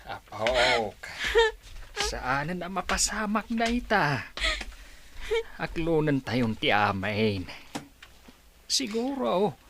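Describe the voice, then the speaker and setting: Old grandparent, very low voice, sighing, consoling, slow swooping delivery, radio drama, faint noise. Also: female voice, very animated, full character voice. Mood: gentle, resigned. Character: devout, sorrowful old grandparent comforting grandchildren. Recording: faint background noise, clicks.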